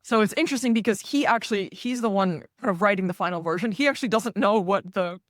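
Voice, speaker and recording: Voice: mid-range voice, dry recording, studio quality. Explanatory podcast host, native Russian speaker. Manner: explanatory, measured, chatty. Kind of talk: casual interview conversation.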